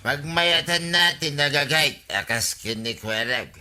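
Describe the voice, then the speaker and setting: An actor playing a nosy, scheming village husband in a radio comedy drama. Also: male voice, on the high side, lively intonation, unhurried, bright, forceful tone, soft background music, exaggerated voice. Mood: amazed.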